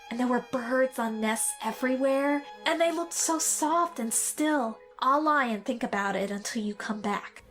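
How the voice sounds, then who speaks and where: A woman's voice: sits high, lightly acted, rough recording. A woman playing a spoiled, lonely, sickly young boy, fretful and wistful, in an audio drama.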